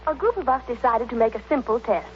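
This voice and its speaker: An American woman with a high voice reads a scripted testimonial for a 1930s radio commercial. The old recording is dull, with a faint hum and hiss behind her. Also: lively intonation, fast talker.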